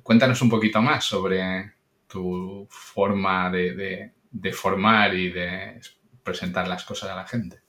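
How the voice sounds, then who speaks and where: A man hosting a podcast interview, welcoming his guest and asking measured questions. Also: sits low, steady tone, a bit bright. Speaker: podcast host. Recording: roomy echo.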